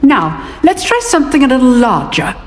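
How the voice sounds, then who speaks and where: A woman's voice: high-pitched, sing-song, moderate pace, heavy background noise. An actress playing a stern, exacting older British witch professor in a video game, instructing with formal authority. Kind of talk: scripted video game acting. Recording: reverberant hall with music behind.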